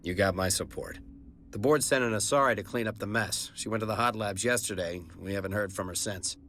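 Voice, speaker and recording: An actor playing a weary, plain-spoken security captain at a besieged research outpost in a video game cutscene. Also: male voice, lowish voice, a little fast, fairly bright tone, slight background noise, close to an everyday voice. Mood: wary, weary. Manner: gruff, plain-spoken, colloquial. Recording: game music behind the voice.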